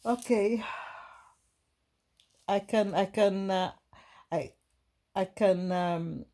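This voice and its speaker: A woman from Brazil with a Brazilian Portuguese accent, giving a gentle, halting inspirational talk to her viewers with many restarts. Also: mid-range voice. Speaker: spiritual vlogger.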